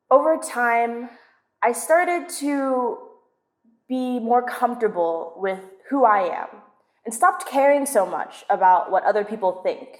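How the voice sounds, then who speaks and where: A woman from Southern California telling a personal story in a measured, confessional spoken-word monologue. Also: on the high side. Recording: roomy echoing space.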